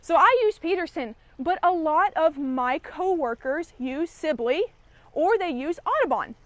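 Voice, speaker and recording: A young woman teaches birding basics in a friendly, practical way, speaking straight to the camera. Her voice sits high and bright. It is close and dry, picked up on a clip-on mic outdoors. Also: lively intonation, measured pace, no room sound. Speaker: conservation educator. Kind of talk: outdoor how-to lesson. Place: garden yard outdoors.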